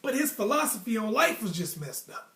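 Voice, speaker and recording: A young American man with a noticeably high voice tells stories in a casual, slangy way. He leans emphatically on his points as he hands out motivational advice. The sound is roomy, with clear echo around the voice. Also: very animated, brisk, pushed and bright. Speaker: storytelling host.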